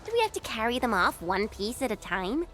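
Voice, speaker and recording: A woman voicing an excitable, caring young female companion in a video game. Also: fairly high pitch, a little fast, slight background noise. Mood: puzzled. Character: excitable, concerned young female companion, high-voiced and animated.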